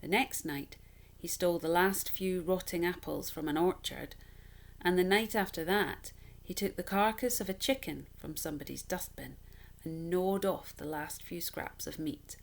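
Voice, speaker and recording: Low-pitched woman reading a children's story. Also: moderate pace, creaky. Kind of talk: expressive storybook narration.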